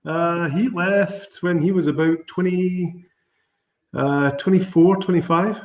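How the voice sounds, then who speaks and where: A man's voice: high voice, steady tone, slow pace. Scottish man on a roomy, distant call. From Scotland. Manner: dry, self-deprecating humor. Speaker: winemaker guest. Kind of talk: casual video call interview. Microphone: video call. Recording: roomy, distant, dull video call audio.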